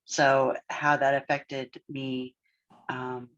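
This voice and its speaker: A podcast co-host and therapist talking through family background in a reflective, measured way, with frequent pauses and fillers. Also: mid-range voice, little pitch movement, fairly bright tone, faint music behind. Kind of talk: casual podcast discussion. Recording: dull, lo-fi sound.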